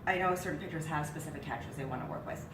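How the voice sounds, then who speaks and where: A woman's voice: low-pitched, rapid-fire. A woman in her thirties with a cold and a nasal voice, chatting animatedly as co-host on a living-room couch. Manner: chatty, animated when excited. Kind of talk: casual sports chat. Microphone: far camera mic. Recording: roomy, distant, hissy.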